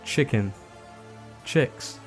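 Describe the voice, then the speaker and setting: A man narrating a scripted video, reading out items one by one. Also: extremely slow. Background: background music.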